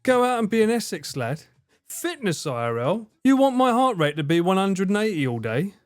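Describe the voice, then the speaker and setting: A man's voice: high voice, sing-song, normal speed, faint music behind. Sweary, joking streamer man. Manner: sweary, jokey, talks to chat and laughs often. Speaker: livestreamer. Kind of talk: live stream chat while gaming.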